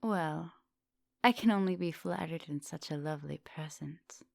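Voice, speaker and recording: A woman acting as an ancient female vampire professor in an intimate ASMR roleplay. Her voice is very low with a gravelly fry, recorded close and dry. She is composed and formal in her wording, yet teasing and gently commanding, slipping at times toward a whisper. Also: normal speed, dry recording. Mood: flirtatious, teasing. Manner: teasing, formal wording, soft dominant, often dropping into whispers.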